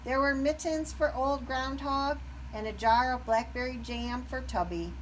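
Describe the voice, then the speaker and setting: A woman narrating a children's story aloud with expressive, deliberate phrasing. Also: high-pitched, far from the mic, poor audio. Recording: background noise.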